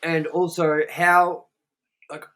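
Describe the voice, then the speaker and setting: A man's voice: fairly high pitch, level tone, slow pace, a bit bright. A man co-hosting a podcast, talking casually and at length, rambling with lots of fillers and swearing. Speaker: podcast co-host. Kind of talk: casual chat.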